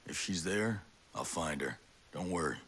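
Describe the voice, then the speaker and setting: A man playing a gruff, wry special-ops soldier in a video game cutscene, dry and guarded in manner. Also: low-pitched, expressive, normal speed, fairly bright tone, slight background noise. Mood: cool, curious.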